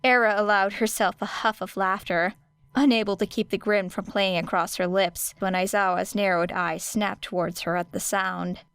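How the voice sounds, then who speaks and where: Smooth-voiced woman narrating a story. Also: medium pitch, fairly even intonation, normal speed, a bit bright, no echo. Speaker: audiobook narrator.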